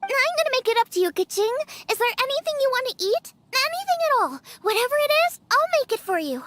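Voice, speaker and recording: A woman's voice: extremely high pitch, big pitch swings, quick pace, background noise. A woman voicing a bubbly, eager young chef in a video game, talking in a cheerful, enthusiastic way. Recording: game audio with music underneath.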